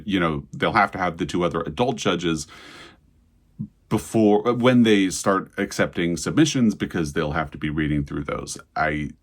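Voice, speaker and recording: A man hosting a book vlog in a casual, enthusiastic way, sharing commentary and reading quotes aloud. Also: low voice. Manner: enthusiastic, repeats phrases for emphasis. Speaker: book vlogger, host.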